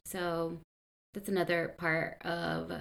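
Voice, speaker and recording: A young woman from Colombia, a podcast co-host chatting casually and telling stories with excitement. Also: lowish voice, slow, vocal fry. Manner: animated, excitable storyteller. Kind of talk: casual chat.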